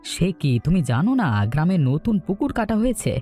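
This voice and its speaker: A man plays a cheerful singing villager from a cartoon folk tale. His voice is warm and mellow and moves up and down in a very lively way. He chats in a friendly sing-song as he passes rumours along. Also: high-pitched, fast, faint music behind. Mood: chatty, informative.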